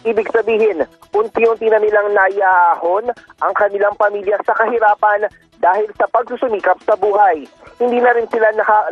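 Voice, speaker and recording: A male radio reporter with a Filipino accent delivers a scripted report in a notably high, husky voice. The feed sounds dull and lo-fi, with faint music underneath. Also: no echo.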